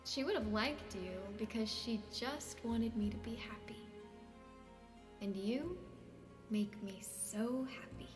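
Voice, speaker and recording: A woman's voice: a little high, takes it slowly, long reverb tail, music in the background. An actress playing an intense, needy young woman in a stage drama reading. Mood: intimate.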